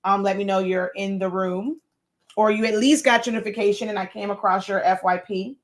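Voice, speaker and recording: A hoarse woman hosts a casual livestream, talking loosely to viewers as they join. Her voice is worn and scratchy, her speech full of fillers and little laughs. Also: medium pitch, fairly even intonation, fairly quick. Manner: warm greetings, frequent laughs and fillers. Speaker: host.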